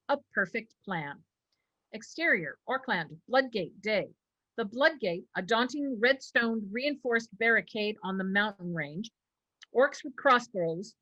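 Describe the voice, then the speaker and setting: Casting: female narrator for script read, fairly high, crisp bright tone, stage directions. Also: highs cut off.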